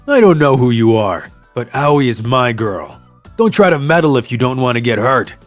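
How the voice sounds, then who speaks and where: An actor playing a menacing, possessive ex-boyfriend in a manga drama, speaking in a threatening way. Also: male voice, mid-range voice, animated, normal speed, soft background music, lightly acted. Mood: threatening, smug.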